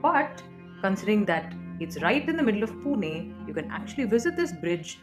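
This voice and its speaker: A woman's voice: mid-range voice, sing-song, moderate pace. A woman narrating a ghost story directly to listeners. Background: guitar music.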